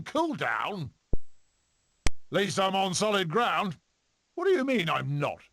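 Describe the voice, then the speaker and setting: A man's voice: high voice, gravelly, sharp tone, slight background noise, exaggerated voice. A man voicing a manic, boastful one-eyed weasel adventurer in a video game, with a British accent and a theatrical, eccentric delivery. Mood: musing, eccentric. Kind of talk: animated video game character voice clips.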